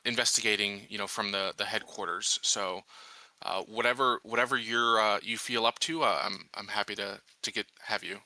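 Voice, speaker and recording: Male roleplayer, lowish pitch with a strikingly bright edge, hesitant off-the-cuff delivery, casual. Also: dry recording, low-quality recording. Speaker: player in a tabletop roleplaying game.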